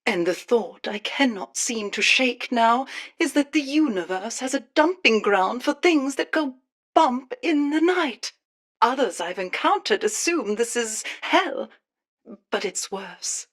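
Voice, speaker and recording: An actress playing a grim, reflective woman stranded in a nightmare world, musing in a measured, ominous way for a game audio log. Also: a little high, expressive.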